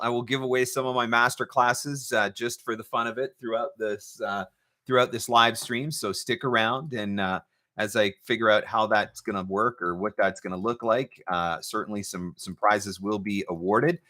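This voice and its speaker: Male livestream host. Crisp, bright, forceful delivery in a medium register, loose conversational banter. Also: mid-range voice. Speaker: livestream host and voiceover marketing coach. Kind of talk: casual live chat, off the cuff.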